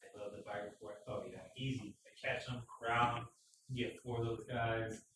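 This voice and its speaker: Animated man in an echoey garage. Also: mid-range voice, moderate pace, noisy background. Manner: animated, teasing, loud bursts. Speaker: co-host of a motorcycle live stream. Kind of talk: casual joking chat. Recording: echoey garage, far from mic.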